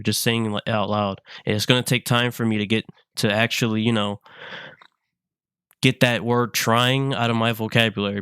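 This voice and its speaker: Earnest young man with a smooth voice. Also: medium pitch, a bit bright, no echo, clean studio sound. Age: 20s. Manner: halting, repeats himself, earnest. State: sick. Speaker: vlogger. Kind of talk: personal confessional vlog.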